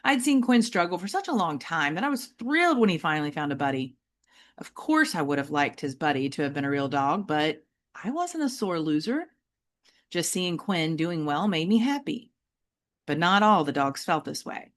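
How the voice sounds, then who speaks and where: Woman storyteller for a children's novel: lower register, very animated intonation, deliberate and emphatic phrasing. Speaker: teacher reading a children's novel aloud. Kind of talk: expressive audiobook-style storytelling for children.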